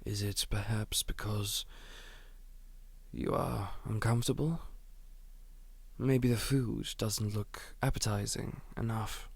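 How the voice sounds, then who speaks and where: A man playing a menacing aristocratic Duke in an ASMR audio drama, courteous and quietly threatening, often whispering. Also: on the low side, steady tone, slow pace, vocal fry, slightly rough, heavily acted. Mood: courtly. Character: menacing aristocratic male Duke, manor lord.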